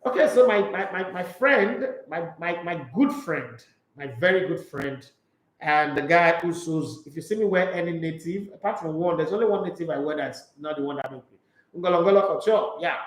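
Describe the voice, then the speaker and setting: A high-pitched man talks football in a loose, opinionated way and makes jokey asides. The room around him is echoey. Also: moderate pace. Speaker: sports talk show host. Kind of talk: casual sports talk, reading news and viewer comments.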